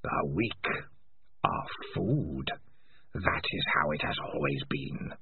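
A man voicing a cruel, scheming male rat king in a storybook reading, with a raspy, hissing, menacing voice that swings from whispers to rage and sounds filtered. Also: extremely low pitch, very animated, slow pace, no echo, heavily acted. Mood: cold. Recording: dry, tinny filtered voice.

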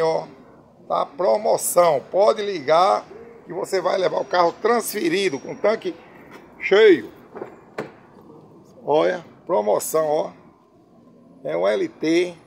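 Guy in his 50s selling used cars, high gravelly voice, phone video outside with faint street noise. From Brazil. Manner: chatty, repetitive salesman patter. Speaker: used car seller. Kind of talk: casual sales pitch on camera. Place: outdoor used car lot. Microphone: phone camera at arm's length.

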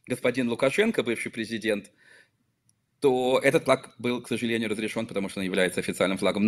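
A middle-aged man from Belarus, a local politician, answering interview questions over a video call in a measured, emphatic way with some hesitations and self-corrections. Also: on the low side. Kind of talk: earnest remote interview answers. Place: office with small desk flags, indoors. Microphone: video call webcam.

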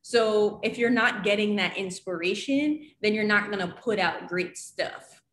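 A woman's voice: mid-range voice, a little slow, fairly bright tone. Young woman, interview guest, video call, roomy echo, faint background hiss, casual and fluent. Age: young adult. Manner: fluent, uses "like" often, gestures while talking. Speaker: guest, creative strategist. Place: home room over video call.

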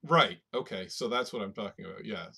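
A man's voice: lowish voice, a little fast, creaky, bright, forceful tone, husky. A man co-hosting a podcast, chatting casually about books in loose, informal phrasing. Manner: informal, loose phrasing with "like", "right?" and "you know". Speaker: podcast co-host. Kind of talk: casual conversational book discussion.

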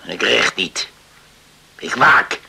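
A man acting a stern, stubborn father and church elder in a radio drama, husky, creaky and scornful. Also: fairly low pitch, animated, very slow, very noisy, full character voice.

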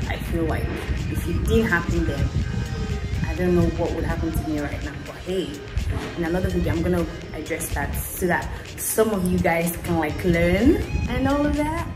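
Chatty Nigerian woman, echoey room, music. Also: fairly low pitch. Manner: chatty, laughs often, wanders into asides. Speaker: vlogger. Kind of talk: casual chat to camera.

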